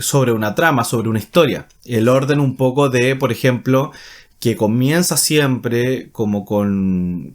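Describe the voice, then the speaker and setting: A man with a Chilean Spanish accent talks in a loose, colloquial ramble, restarting phrases and filling pauses with "eh". His voice sits at an ordinary middle pitch for a man. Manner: rambling, colloquial, frequent fillers and self-corrections. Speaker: writer and channel host. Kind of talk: casual monologue.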